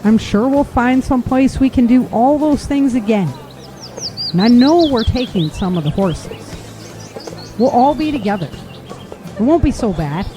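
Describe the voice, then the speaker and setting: An actress playing a reassuring, resolute mother, earnest and gentle, in an audio drama. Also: a little high, expressive, measured pace, long pauses, over music. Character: reassuring, resolute mother leading her family. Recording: noisy outdoor ambience with birds.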